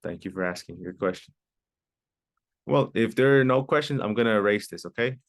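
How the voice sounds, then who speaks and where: A man's voice: fairly low pitch, fairly quick. A man teaching an online English class, patiently repeating key phrases and sometimes explaining in Spanish. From Mexico.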